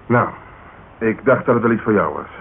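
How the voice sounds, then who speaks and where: Gruff cop in a radio drama, deep voice, world-weary and sardonic, hissy old tape. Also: male voice, animated, moderate pace, narrow, tinny audio. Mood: wry, persuasive. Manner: wry, colloquial, offhand. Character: gruff, cynical male police detective. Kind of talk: Dutch radio drama dialogue.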